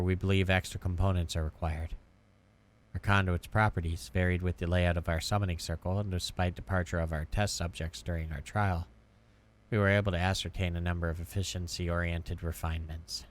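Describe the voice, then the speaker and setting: A man who streams games, reading the text of a story game aloud. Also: low-pitched. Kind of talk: voiced playthrough of a visual novel.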